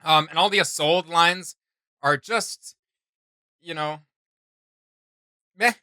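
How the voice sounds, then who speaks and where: A man's voice: a little high, slow, sharp tone, smooth, no echo, studio quality. A man hosting a reaction video, giving casual commentary in a blunt, mocking, slangy way.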